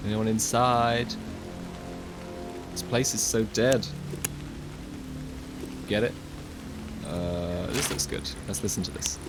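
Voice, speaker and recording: A man's voice: on the low side, slow, pauses often, over music. A young man casually commenting while playing a VR game, chatty and joking, with wry asides to the viewer. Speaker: gaming video host. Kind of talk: casual let's-play commentary.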